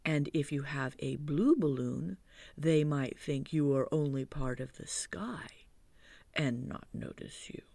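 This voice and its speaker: A storybook reader voicing a gentle, slow-witted toy bear who talks in a soft, breathy half-whisper, slow and thoughtful. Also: medium pitch, no echo. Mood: pondering.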